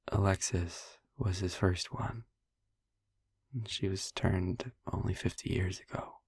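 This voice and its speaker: Intimate audio RP: male actor as gentle, wry young vampire boyfriend, husky near-whisper, slow halting delivery, close dry sound. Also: low-pitched, no room sound, heavily acted. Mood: quiet explaining. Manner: halting, pausing, wry asides. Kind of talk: intimate audio roleplay, softly confiding to the listener.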